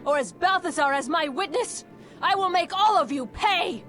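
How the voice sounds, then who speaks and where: A woman's voice: extremely high pitch, expressive, moderate pace, fairly bright tone, soft background music. A woman playing a grieving, defiant warrior in a video game cutscene, solemn and bitter.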